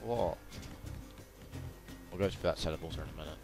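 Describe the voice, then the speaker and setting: Low-voiced playful man commentating a game. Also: fairly quick, vocal fry. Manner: playful, chatty, exclaiming with sound effects. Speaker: gaming video host. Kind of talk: casual let's-play commentary. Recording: game music behind, doubled game audio. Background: video game music and sounds.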